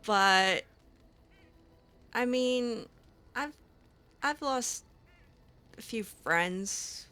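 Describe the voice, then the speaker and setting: A woman's voice: on the high side, lively intonation, extremely slow, long pauses, pushed and bright. A woman roleplaying her character in a video game, talking hesitantly with many fillers and a snarky edge. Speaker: gaming roleplay host.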